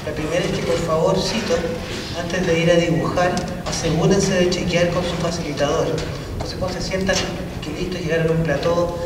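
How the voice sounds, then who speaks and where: A man's voice: a little high, steady tone, brisk. A South American Spanish-speaking man giving friendly, informal instructions to a workshop group as its facilitator. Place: large echoey hall. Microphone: distant microphone. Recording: echoey hall from far off, background noise.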